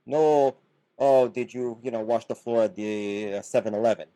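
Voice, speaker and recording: A man's voice: medium pitch, moderate pace, dark tone, poor audio. A middle-aged man from Canada, a podcast guest who explains his points earnestly and often restarts his words. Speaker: podcast guest, business founder. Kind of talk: casual podcast conversation.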